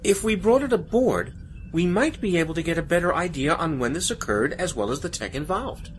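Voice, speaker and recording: A woman's voice: lowish voice, expressive, fairly quick, a little hiss or hum, close to an everyday voice. A woman acting as an inquisitive senior starship officer in a science fiction audio drama, with a questioning manner. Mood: thoughtful.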